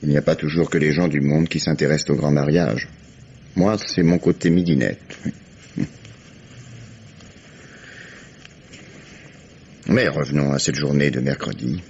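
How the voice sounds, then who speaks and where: An actor playing a calm, ironic police commissioner interrogating a suspect in a 1950s radio drama, measured and dry. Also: male voice, a bass voice, vocal fry, a little hiss or hum. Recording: muffled old radio broadcast.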